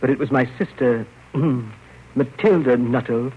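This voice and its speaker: An actor playing a fussy, nervous, proper gentleman in 1930s radio drama style, with a prim, halting delivery and habitual throat-clearing. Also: mid-range voice. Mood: prim, anxious. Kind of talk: 1930s radio drama acting, first-person narration. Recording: muffled, noisy old radio broadcast.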